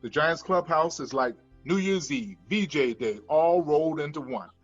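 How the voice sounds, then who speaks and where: A man's voice: a little high, lively intonation, moderate pace, highs cut off, faint music behind. A man narrating scripted stage directions at a screenplay table read.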